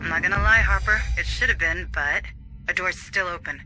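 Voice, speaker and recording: A woman playing a brisk, authoritative military officer in a video game, commanding and businesslike. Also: on the low side, sharp tone, soft background music, low-quality recording. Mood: composed, explanatory.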